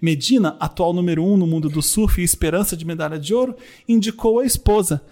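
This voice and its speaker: A man's voice: high voice, quick pace, dark tone. A man hosting a casual podcast chat and reading the news aloud. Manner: chatty, reads news aloud. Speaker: podcast host.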